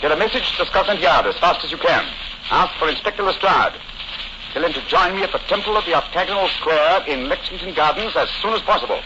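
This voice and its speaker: A commanding British gentleman detective played by a man, slightly high in pitch and clipped in delivery. He sounds sharp and authoritative in an old radio play, under heavy hiss and crackle. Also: animated, fast, natural voice. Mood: brisk, decisive. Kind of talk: 1940s radio acting. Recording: very noisy, dull 1940s radio recording.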